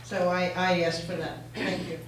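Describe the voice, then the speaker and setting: A woman speaks in a low, flat, even voice, pausing hesitantly with frequent fillers. She is heard from a distance in a very echoey meeting hall with faint noise behind. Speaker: town commissioner at a public meeting. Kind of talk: town meeting remarks.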